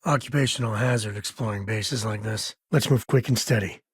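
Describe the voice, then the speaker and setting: A man voicing a principled, rugged ex-lawman and father in a space video game, with a husky voice and a folksy, wry, plainspoken manner. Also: on the low side, fairly quick, no echo. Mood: steady, reassuring. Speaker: video game companion character. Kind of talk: video game voice acting, naturalistic dialogue.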